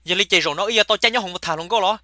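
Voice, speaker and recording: A man's voice: high voice, racing, bright, forceful tone, dry recording, studio quality. A man voicing a talkative male character in a comic cartoon. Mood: chatty. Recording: clear background noise.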